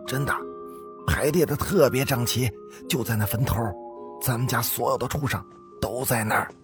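A man's voice: medium pitch, moderate pace, over music, voice pushed up, natural voice. A man voicing an uneasy servant in a ghost story, earnestly reporting something eerie. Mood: spooked, earnest.

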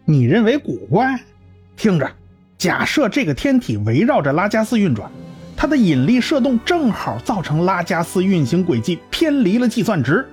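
A man's voice: high voice, very animated, moderate pace, darkish tone, breathy edge, heavily acted. A man doing an earnest lecturing scientist. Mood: explaining. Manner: explaining at length, lecturing. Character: learned male scientist, earnest lecturing explainer. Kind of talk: amateur radio drama. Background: faint music.

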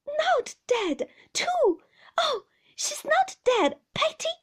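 A woman voicing a frightened, tearful young boy in a storybook reading, breathy and anxiously questioning. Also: extremely high pitch, huge pitch swings, normal speed, dark tone, voice pushed up, exaggerated voice. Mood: tearful, desperate.

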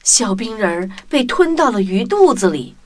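A woman hosting and narrating a children's audio story in an expressive scripted style, speaking directly to young listeners. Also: fairly high pitch, normal speed. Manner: addresses children directly, animated.

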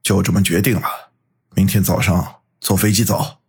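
A man voicing stern, world-weary middle-aged gangsters in an audiobook, with a gruff delivery. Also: low voice, steady tone, moderate pace, darkish tone, exaggerated voice. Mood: stern, dismissive. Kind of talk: audiobook character acting.

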